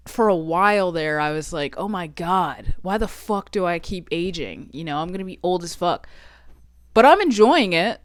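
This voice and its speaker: Woman, early twenties, chatty, curses freely, low-ish smooth voice, dry close sound. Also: no echo. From Texas. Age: 20s. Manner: chatty, frequent swearing, self-joking. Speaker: vlogger. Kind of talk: casual solo podcast chat. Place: her bedroom.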